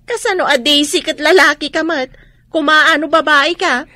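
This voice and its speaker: An actress playing a nosy, gossiping village storekeeper with an exclamatory manner, in a radio drama. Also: female voice, sits high, measured pace, sharp tone, dry recording, clean studio sound. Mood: prying, indignant.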